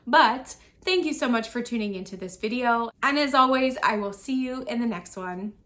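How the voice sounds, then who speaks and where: Raspy woman recapping her sales. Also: on the high side, animated, moderate pace, fairly bright tone. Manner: itemized, matter-of-fact listing. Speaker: online reseller.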